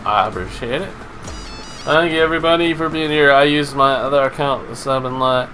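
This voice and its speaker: A man's voice: mid-range voice, a little fast, sharp tone, soft background music. A man in his thirties chatting casually and informally with viewers while livestreaming a video game from home, swearing now and then. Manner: informal, profane, talking to chat. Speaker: live game streamer. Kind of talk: casual livestream gaming chat and commentary. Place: indoors at a home streaming setup. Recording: noisy stream with clear background noise.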